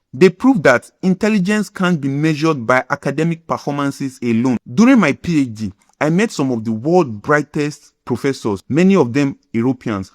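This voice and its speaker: Nigerian-accented man in his thirties, scripted commentary host, measured delivery, dry booth sound. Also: mid-range voice, no echo. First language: Yoruba. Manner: measured, lecturing, list-like delivery. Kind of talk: scripted opinion monologue.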